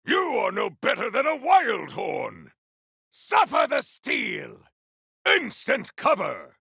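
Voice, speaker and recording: An actor playing a bombastic, vengeful warrior hero in a video game, declaiming battle cries in a theatrical, archaic, grandiose way with a gravelly, raspy voice. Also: male voice, extremely high pitch, huge pitch swings, takes it slowly, pushed and bright, no echo, shouting, studio quality, exaggerated voice. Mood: fierce, bombastic. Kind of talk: video game combat barks.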